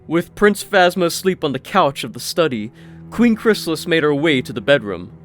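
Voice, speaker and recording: A man narrating a scripted fantasy story, expressive, with wry asides. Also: fairly high pitch, moderate pace. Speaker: audiobook narrator. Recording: faint music behind the voice.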